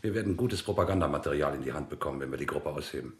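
A low male voice for a ruthless captain in a radio play. He is curt, impatient and dismissive, talking like an officer used to giving orders. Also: rapid-fire. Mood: contemptuous, cold. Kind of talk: radio drama dialogue.

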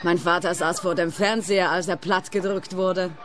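A woman's voice: on the low side, fairly even intonation, moderate pace, a bit bright, natural voice. An actress playing an eccentric young female trucker in a radio play, dreamy and oddly matter-of-fact. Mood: wistful, cheerfully morbid. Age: young adult.

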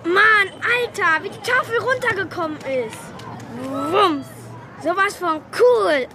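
A performer playing a cheeky, excitable schoolboy in a children's radio play, talking in enthusiastic slang. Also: extremely high pitch, takes it slowly, a crowd in the background, full character voice. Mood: excited. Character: cheeky, excitable schoolboy, loyal best friend.

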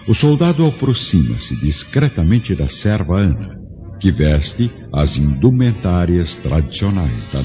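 A man narrating a radio drama in a grave, measured storytelling manner. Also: low-pitched, mellow. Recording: muffled audio with music score.

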